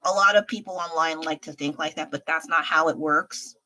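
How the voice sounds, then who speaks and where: A young woman from the States talks frankly on a late-night stream while she paints, thinking out loud and laughing easily. Her voice sits a little low but sounds bright and forward. She rambles in a loose, casual way. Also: brisk. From United States. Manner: chatty and frank, laughs often, thinks aloud. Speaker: livestreaming artist. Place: home at night.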